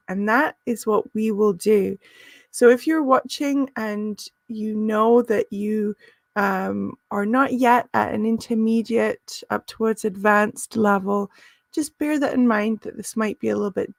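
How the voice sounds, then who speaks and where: A woman's voice: medium pitch, a little slow, creaky, dry recording, studio quality. A woman teaching a live business English lesson, friendly and encouraging, with frequent "um" and "uh" fillers.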